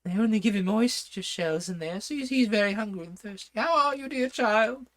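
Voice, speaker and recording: Man doing a fussy, excitable old king. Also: sits high, brisk, voice pushed up. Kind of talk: storybook read-aloud.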